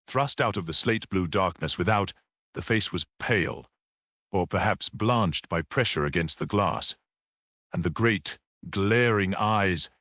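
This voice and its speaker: A man reading a literary story aloud as a dramatic audiobook narrator, in an expressive, measured manner. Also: fairly low pitch, dry recording, clean studio sound.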